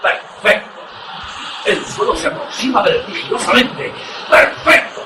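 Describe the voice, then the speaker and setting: Casting: reckless pilot commander, acted by a man, very high-pitched, excitable, breathless, heard from far off through clear noise, radio suspense play. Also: unhurried. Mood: exhilarated. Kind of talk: suspense radio drama acting. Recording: distant, echoey, noisy cockpit-like sound.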